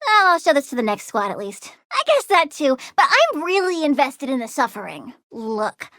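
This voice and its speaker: A woman's voice: high voice, sing-song, normal speed, a bit bright, dry recording, studio quality. A woman voicing a volatile young plant-powered girl villain in a video game, girlish, bratty and blunt, with gleeful menace. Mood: menacing. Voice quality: girlish, childlike. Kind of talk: video game character voice lines.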